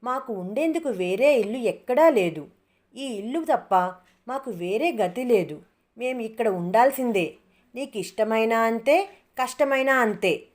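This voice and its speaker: A woman voicing a stubborn, cunning village wife in an animated story, brazen and insistent. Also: medium pitch, lightly acted. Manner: brazen, insistent, quick to retort. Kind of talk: animated moral story character voice.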